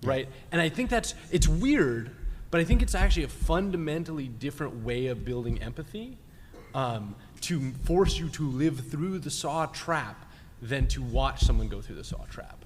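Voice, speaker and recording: A man's voice: fairly high pitch, expressive, normal speed. A man explaining his points emphatically as a guest panelist in a live discussion, often checking in with the listeners. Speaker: guest panelist, game designer. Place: large indoor convention panel room. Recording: reverberant convention hall with background noise.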